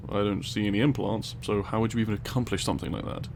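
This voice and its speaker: A man playing a low, gravelly, exasperated scientist. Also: fairly even intonation, fast talker, a little mellow, a little hiss or hum, natural voice. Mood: intrigued, analytical. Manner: dry, precise, condescending, wordy. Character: tiny male scientist Frederick, stern, exasperated, sarcastic, pedantic. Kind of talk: scripted comedic audio roleplay.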